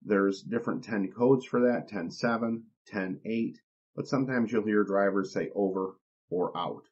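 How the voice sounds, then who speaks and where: A man hosting an instructional podcast, explaining things in a plain, deliberate, step-by-step way. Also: lowish voice, darkish tone. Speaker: podcast host. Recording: dull, lo-fi podcast recording.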